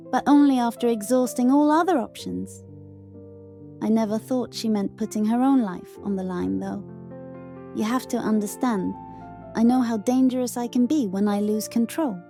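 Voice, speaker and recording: A woman playing a gentle, remorseful young fighter-plane spirit in an audio drama, speaking softly, earnestly and haltingly. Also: on the high side, soft background music, lightly acted. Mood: pained, calm.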